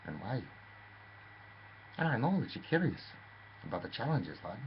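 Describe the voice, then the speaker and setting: A man with a lowish voice tells a rambling story in a theatrical, swooping manner, leaping from murmurs to sudden high exclamations. The recording is dull and lo-fi, with a faint hiss underneath. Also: big pitch swings, moderate pace, gravelly. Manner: theatrical, rambling, addresses listener as lad.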